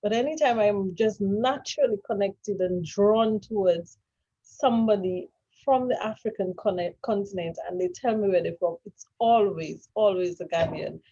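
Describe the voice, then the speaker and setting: Husky, mellow woman interviewer, effusive. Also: medium pitch, normal speed. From Caribbean. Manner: effusive, encouraging. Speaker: interview host. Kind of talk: hosted interview. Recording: dull lo-fi sound.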